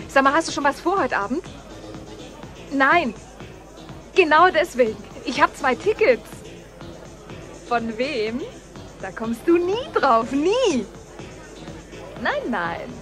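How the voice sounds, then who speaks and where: A woman's voice: high-pitched, very animated, slow, long pauses, a bit bright, faint music behind, full character voice. An actress playing a breezy, curious, chatty woman in a radio play, talking colloquially and eagerly. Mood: excited. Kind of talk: radio drama acting.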